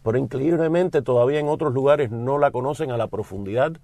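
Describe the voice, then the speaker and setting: A man's voice: mid-range voice, quick pace, warm tone, no echo. A middle-aged man from the United States, a studio guest speaking earnestly and with feeling. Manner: earnest, heartfelt. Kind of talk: interview talk.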